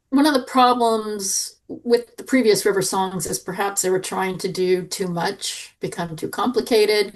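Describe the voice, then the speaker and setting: Female podcast panelist, creaky mid-range voice, casual review chat built on lists. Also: medium pitch.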